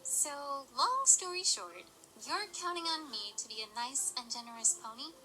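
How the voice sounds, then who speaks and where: A woman's voice: high voice, big pitch swings, moderate pace, sharp tone, full character voice, low-quality recording. A woman playing an inquisitive, studious young pony librarian in an amateur audio drama, precise and questioning. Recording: faint music behind.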